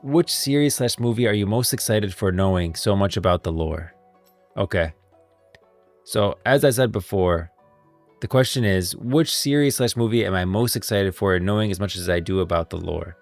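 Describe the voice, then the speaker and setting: Deep-voiced man, casual streamer. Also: moderate pace, soft background music. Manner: informal, emphatic, opinionated. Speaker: livestream host. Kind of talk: casual game lore commentary.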